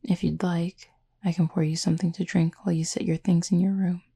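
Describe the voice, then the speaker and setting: A woman playing a gentle, formal cupid roommate in an intimate roleplay audio, speaking softly and breathily with measured, teasing politeness. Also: fairly low pitch, flat delivery. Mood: tender, calm. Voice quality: soft, breathy, slightly husky. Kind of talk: intimate roleplay audio acting, addressed to listener.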